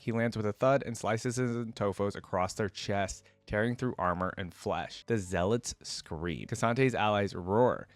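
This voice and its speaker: A man's voice: mid-range voice, dry recording. A man who creates reaction videos, reading a story aloud expressively and dramatically, with casual asides.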